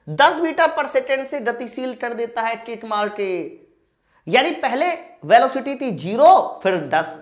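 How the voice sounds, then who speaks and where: A man teaching an exam-prep lesson, asking and answering his own questions as he addresses students directly. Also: very high-pitched. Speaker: physics teacher. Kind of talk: exam-prep lesson, working through problems aloud.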